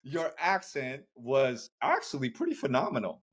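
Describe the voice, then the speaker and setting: A man's voice: medium pitch, huge pitch swings, moderate pace, noisy background. A man in his thirties hosting an interview, casual and affirming, with many fillers. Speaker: podcast host, doctor.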